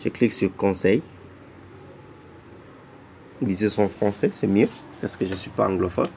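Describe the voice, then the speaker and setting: A man giving a casual walkthrough of an app, halting and often repeating words and restarting phrases, who says he is not an English speaker. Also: lowish voice, vocal fry, darkish tone, slight background noise. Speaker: app tutorial presenter. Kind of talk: casual screen-recorded walkthrough.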